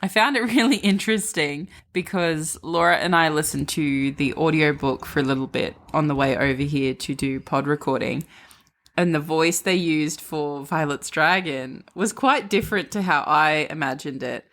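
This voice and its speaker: Woman podcast cohost, lowish voice, slangy n sweary, casual book talk, animated storyteller type. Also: normal speed.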